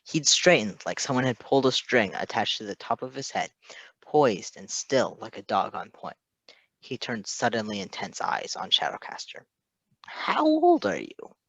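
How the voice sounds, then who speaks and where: A man reading a story aloud in an expressive scripted narration style. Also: on the low side, normal speed, dry recording. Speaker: podfic reader.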